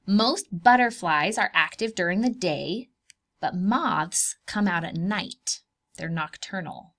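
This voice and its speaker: A woman's voice: on the low side, slow pace. A woman hosting a read-aloud lesson for preschoolers, explaining things simply and expressively and putting questions to her young listeners. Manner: expressive, emphasizing key words for small children. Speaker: children's read-aloud host and preschool teacher.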